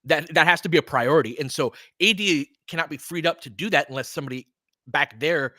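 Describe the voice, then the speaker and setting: A man's voice: fairly high pitch, fairly quick, pushed and bright, no room sound, studio quality. A man giving casual fan commentary and basketball analysis in an informal, conversational way, often checking for agreement.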